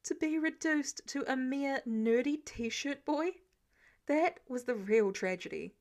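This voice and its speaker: A woman narrates a piece of fiction in an audiobook style. Her voice is on the high side. She reads expressively from a script. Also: expressive, takes it slowly, darkish tone.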